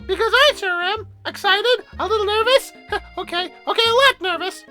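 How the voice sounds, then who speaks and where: A let's-play host voicing an adorably awkward, nervous young woman in a dating game, in a high, squeaky put-on voice. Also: normal speed, pushed and bright, faint music behind. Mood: nervous, fretful. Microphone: headset.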